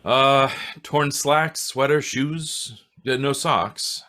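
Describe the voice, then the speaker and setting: A man playing a matter-of-fact homicide inspector with halting speech in a radio drama. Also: mid-range voice, pushed and bright. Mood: businesslike. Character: businesslike male homicide police inspector. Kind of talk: old-time radio drama acting.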